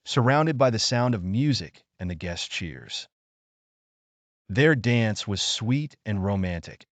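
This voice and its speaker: A man's voice: on the low side, dry recording. A man narrating a scripted fairy tale as a bedtime story for children.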